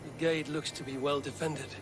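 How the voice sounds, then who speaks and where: An actor playing a steady, practical Viking warrior in a video game, speaking with blunt command. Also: male voice, fairly high pitch, moderate pace, background noise, natural voice. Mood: firm. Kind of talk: video game cutscene acting.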